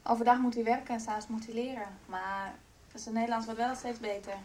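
Actress doing nice considerate young woman in radio soap, voice a bit high. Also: flat delivery, quick pace, darkish tone, lightly acted. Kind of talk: Dutch radio soap acting.

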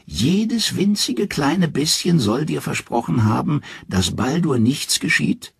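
A man's voice: on the high side, very animated, slow pace, creaky, breathy edge, pitched above the performer's own voice, heavily acted. A man voicing a scheming male trickster god, speaking in a thin, wheedling voice.

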